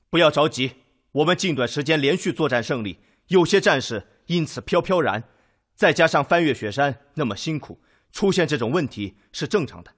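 Husky, authoritative army commander. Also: male voice, on the high side. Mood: calm, reassuring. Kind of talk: Chinese radio drama acting.

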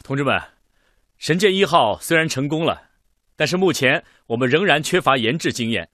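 An actor playing a practical, confident engineer in a radio drama, presenting a design in an orderly, persuasive way. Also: male voice, on the high side, animated, moderate pace, pushed and bright, no echo, studio quality, faint music behind. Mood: confident, explanatory.

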